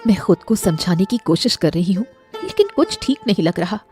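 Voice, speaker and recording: An anxious, thoughtful storybook queen, acted by a woman. She speaks earnestly in a medium-pitched voice for an audio drama. Music is clearly heard behind her. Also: big pitch swings, normal speed, a little mellow, close to an everyday voice. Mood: worried. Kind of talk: Hindi moral-story audio drama acting.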